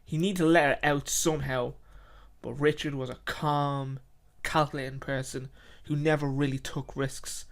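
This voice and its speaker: A man's voice: medium pitch, fairly even intonation, vocal fry. Man narrating a story in short phrases. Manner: halting, repetitive short phrases.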